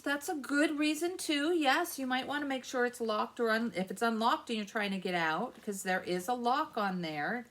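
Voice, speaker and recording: Higher-pitched American woman teaching young children. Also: a bit bright. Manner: asks simple questions, answers them, explains patiently. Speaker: children's librarian, story time host. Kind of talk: interactive read-aloud and teaching for young children.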